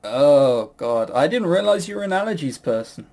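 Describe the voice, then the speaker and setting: Male podcast quizmaster, slightly high voice, chatty and rambling, self-mocking humor with casual swearing. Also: lively intonation, normal speed, vocal fry, a little mellow. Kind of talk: casual banter.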